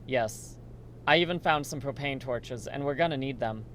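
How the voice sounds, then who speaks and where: A man playing a steady, reassuring survivor and research partner in a horror radio drama, speaking earnestly. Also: mid-range voice, moderate pace, background noise, close to an everyday voice. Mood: urgent.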